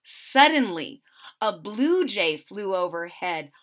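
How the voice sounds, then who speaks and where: A woman's voice: mid-range voice, sing-song, slow, a bit bright. A woman reading a children's story aloud expressively, with asides and questions to her listeners. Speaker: storybook reader.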